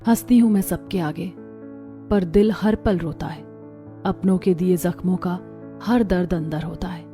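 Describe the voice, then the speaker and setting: Woman acting a mellow, guarded young daughter-in-law. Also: fairly low pitch, steady tone, measured pace, soft background music, close to an everyday voice. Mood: sad, fearful. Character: hurt, fearful, guarded young daughter-in-law in a family cartoon. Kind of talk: Hindi cartoon story acting.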